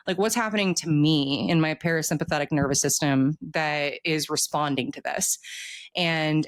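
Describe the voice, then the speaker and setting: A speaker in a casual podcast conversation, explaining things in an animated, rambling way with casual swearing. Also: medium pitch, no echo.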